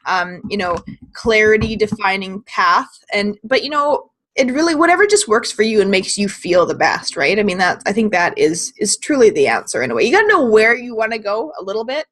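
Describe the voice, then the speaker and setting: A woman's voice: mid-range voice, very animated, fairly quick, a bit bright. Upbeat woman interviewer, laughing often. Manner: upbeat, laughs often, ends points with "right?". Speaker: interview host, creativity coach. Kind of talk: video interview conversation.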